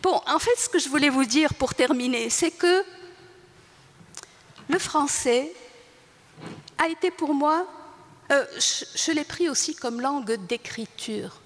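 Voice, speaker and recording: An older woman born in Tunisia whose first language is Arabic, speaking at a panel talk and reflecting in a halting way, often searching for words and restarting. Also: sits high, lively intonation. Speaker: writer on a panel. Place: large hall. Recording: reverberant hall.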